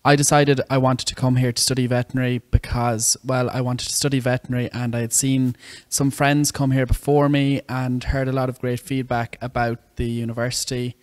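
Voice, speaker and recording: A man's voice: mid-range voice, little pitch movement, a little fast, hardly any pauses, a little mellow. A young man in his twenties from the southeast of Ireland with an Irish accent, a veterinary student giving earnest, thoughtful interview answers. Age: 20s.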